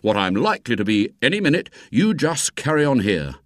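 A man's voice: medium pitch, sing-song, brisk, no room sound, studio quality, voice pushed up, lightly acted. A man voicing a small, self-important boy who fancies himself a spy, in a storybook reading. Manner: darkly boastful, self-important. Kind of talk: acted dialogue in storybook reading.

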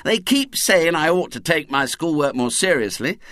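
Man reading a gloomy schoolboy, raised pitch, bitter grumble. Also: big pitch swings, moderate pace, a bit bright, no room sound, clean studio sound.

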